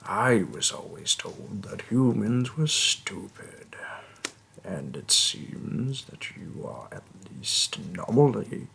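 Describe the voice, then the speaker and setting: A man voicing a hulking tusked orc shaman, stern and gruff yet hospitable, speaking with formal, deliberate phrasing in a fantasy roleplay audio. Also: fairly low pitch, big pitch swings, fairly bright tone, slight background noise, heavily acted. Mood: wry, appraising. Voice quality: gravelly, husky.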